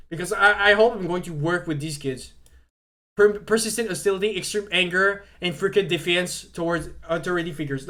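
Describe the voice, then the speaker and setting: A man's voice: high voice, a bit bright. A young man whose first language is French, talking casually on a live stream, rambling with lots of fillers and stumbling restarts.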